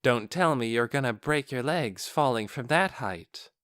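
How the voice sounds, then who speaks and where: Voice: medium pitch, expressive, unhurried, a bit bright, smooth, no echo, studio quality. A man voicing a beautiful, disdainful woman in an audiobook, with a cool, curt, scolding manner.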